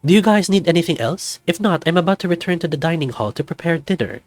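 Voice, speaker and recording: A man's voice: fairly high pitch, expressive, quick pace, dry recording, soft background music, voice pushed up, close to an everyday voice. Man putting on a flamboyant, lilting older snow leopard. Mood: flirty.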